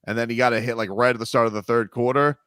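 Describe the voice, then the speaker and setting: A man's voice: mid-range voice, fairly even intonation, quick pace, a bit bright, smooth, dry recording, clean studio sound. A man hosting sports talk radio, rambling casually with lots of fillers and laughs. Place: radio studio. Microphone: studio mic.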